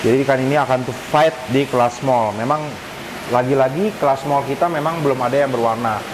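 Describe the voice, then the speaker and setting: Male vlogger, medium-pitched, informal explainer talking to viewers as friends, noticeable hiss behind. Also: fairly quick. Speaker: arowana fish keeper and vlogger. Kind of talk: casual explanatory vlog talk. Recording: clear hissy background noise. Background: steady hiss.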